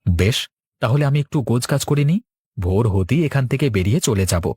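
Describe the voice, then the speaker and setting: A man's voice: medium pitch, animated, a little fast, darkish tone, slightly rough, no room sound, clean studio sound. A man playing an earnest, resolute young village farmer, a dutiful son, in a cartoon. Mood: resigned, dutiful. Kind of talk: Bengali cartoon dialogue.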